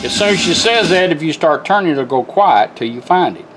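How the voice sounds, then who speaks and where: A man's voice: a little high, lively intonation, fairly quick. A man giving an informal, plain-spoken, step-by-step demonstration of a product. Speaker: car radio builder giving a product demonstration. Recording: music playing behind the voice.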